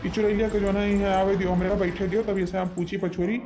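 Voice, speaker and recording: Man voicing a high-pitched commanding village exorcist. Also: little pitch movement, a little fast, background noise. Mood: reassuring. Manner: admonishing, reasoning, repetitive. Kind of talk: animated comedy cartoon voice acting in dialect.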